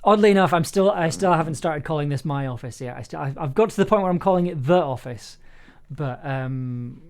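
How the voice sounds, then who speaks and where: A man's voice: fairly high pitch, a little fast, smooth. A man directing an actor in casual, hesitant chat, searching for words and repeating phrases as he explains. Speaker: host and audio drama director.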